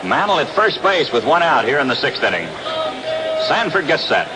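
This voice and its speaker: A man's voice: a little high, very animated, normal speed, over music, low-quality recording. An American man calling baseball play-by-play in the style of a 1960s radio broadcast, recorded outdoors at a ballpark. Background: loud stadium ambience.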